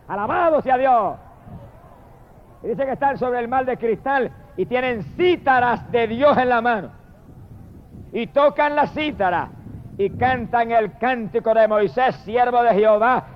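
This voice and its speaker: High-pitched preacher guy, fired up and repeating praise shouts, muffled lo-fi sound. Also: slight background noise. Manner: impassioned, repetitive exclamations of praise. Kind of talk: fervent sermon.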